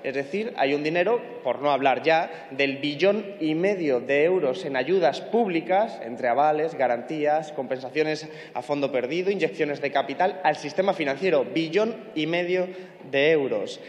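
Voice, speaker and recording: A young man speaking at a lectern in a large parliament chamber, arguing forcefully and stacking lists for emphasis into a lectern microphone. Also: a little high, moderate pace. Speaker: member of parliament. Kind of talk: parliamentary speech. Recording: echoey chamber with long reverb.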